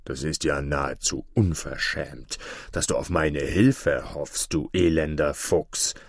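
A man voicing an arrogant, bad-tempered male wolf who talks down to the fox in a gruff, grumbling way. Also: steady tone, no echo, clean studio sound, full character voice. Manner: gruff, grumbling, low and slow.

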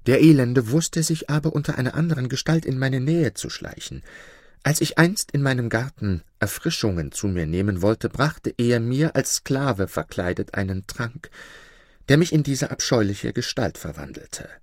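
A man's voice: medium pitch, lively intonation, normal speed, darkish tone, dry recording, studio quality, voice pushed up, natural voice. A man doing a sorrowful owl princess. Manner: plaintive, earnest storytelling. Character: enchanted princess turned owl, young, sorrowful.

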